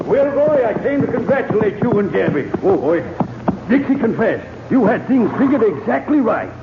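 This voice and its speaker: An actor playing a hearty American Western sheriff in an old-time radio drama. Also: male voice, sits high, very animated, moderate pace. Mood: pleased. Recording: tinny, echoey, noisy old radio recording.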